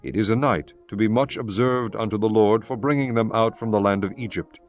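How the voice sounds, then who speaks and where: A man narrating scripture from a script in a solemn, measured, formal way. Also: on the low side. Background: music.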